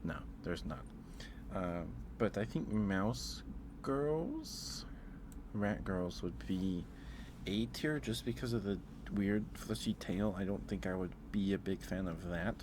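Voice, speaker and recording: Low-pitched man, soft-spoken ASMR streamer. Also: animated, takes it slowly, background noise. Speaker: live streamer and host. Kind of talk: casual ASMR livestream chat.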